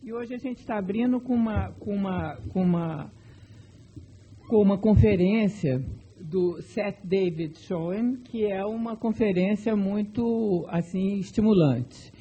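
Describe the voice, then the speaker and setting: A man's voice: high voice, slow, warm tone, muffled recording, a little hiss or hum. A man introducing a speaker at a debate event, talking off the cuff with frequent restarts and repeated words. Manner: hesitant, many restarts. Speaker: event host. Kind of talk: spontaneous introduction.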